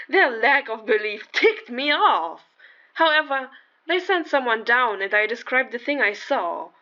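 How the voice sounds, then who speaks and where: A woman's voice: on the high side, sharp tone, highs cut off. A woman playing a frightened young caller who recounts a creepy stalker encounter in a hesitant, conversational way for a radio horror drama. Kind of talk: horror story told as radio call-in.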